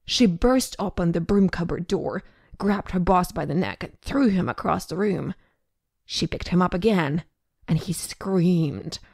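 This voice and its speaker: A woman's voice: on the low side, animated, unhurried, vocal fry, a little mellow, no room sound, studio quality. A woman reading scripted narration for an audio drama.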